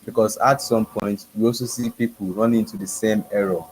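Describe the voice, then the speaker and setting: A man's voice: fairly low pitch, fairly even intonation, a little fast, darkish tone, a little hiss or hum, rough recording. A man in the audience politely asking the speaker a question, hesitant with fillers. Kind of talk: question from the floor.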